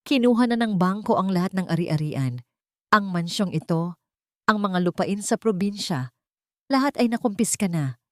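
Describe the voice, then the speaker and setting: A woman as a soft-spoken, sorrowful family lawyer. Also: mid-range voice, expressive, normal speed, no room sound, clean studio sound, close to an everyday voice. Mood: sorrowful, resigned. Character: lawyer bringing grave news, deferential and sorrowful.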